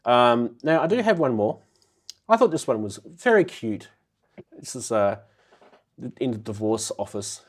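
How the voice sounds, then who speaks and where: A man hosts a casual chat show. He talks at an ordinary middle pitch and cracks jokes, laughing at his own lines. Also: sing-song, a little slow, long pauses. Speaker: podcast host.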